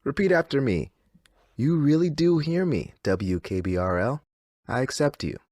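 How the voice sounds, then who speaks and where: An eerily happy radio DJ, played by a man, soothing and theatrical like a cult host. The medium-pitched voice swings widely up and down, recorded dry and close. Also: animated, moderate pace, a little mellow, dry recording, close to an everyday voice. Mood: rapturous. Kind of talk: scripted game radio patter.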